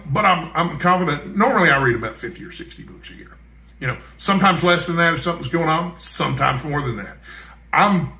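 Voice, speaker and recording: A man's voice: on the high side, bright, forceful tone. An American man chatting casually as a book vlogger, in a folksy, rambling way. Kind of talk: casual chat to camera. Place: indoor room at home. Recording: echoey, roomy sound.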